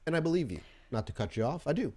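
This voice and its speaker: A man voicing a shrewd, suspicious female vampire gang leader who bargains frankly and haltingly in a tabletop game. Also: fairly high pitch, sing-song, a little mellow, voice pushed up. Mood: blunt, pragmatic.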